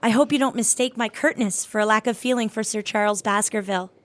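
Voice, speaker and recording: Casting: actress playing a curt, dignified woman with something to guard; slightly high voice, formal, composed, audio play delivery. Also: quick pace, fairly bright tone, close to an everyday voice. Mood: curt, firm. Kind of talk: audio drama acting.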